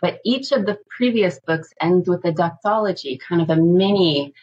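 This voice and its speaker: Low-voiced woman professor, husky. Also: moderate pace, muffled recording. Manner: calm, methodical explaining. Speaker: Hebrew Bible professor. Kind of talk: explanatory academic talk.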